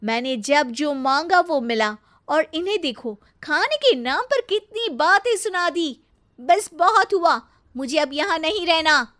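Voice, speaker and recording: A woman voicing a spoiled, haughty young model in an animated family drama, petulant and snappy, mixing in English words. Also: high voice, unhurried. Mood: furious, complaining. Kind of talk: melodramatic animated story voice acting.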